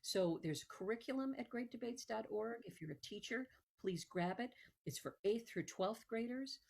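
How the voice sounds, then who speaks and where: A woman hosting a program in an informal promotional style, conversational and halting, with emphatic stresses. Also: fairly high pitch, animated, creaky, darkish tone. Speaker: host, museum executive director. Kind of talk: informal promotional talk to viewers.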